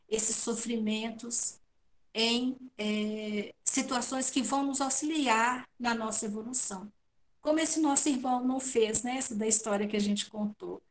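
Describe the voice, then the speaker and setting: High-pitched Brazilian woman giving devotional talk. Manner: didactic, asks and answers her own questions. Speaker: guest speaker at a spiritist talk. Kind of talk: devotional talk, explaining read passages. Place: at home indoors on a video call. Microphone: wired earphone headset.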